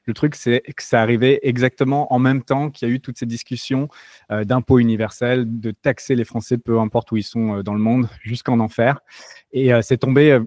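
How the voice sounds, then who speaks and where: A man's voice: mid-range voice, brisk, no echo, studio quality. A French-born man as an interview guest, talking casually with many hesitations and restarts. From France. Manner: relaxed, many fillers and restarts.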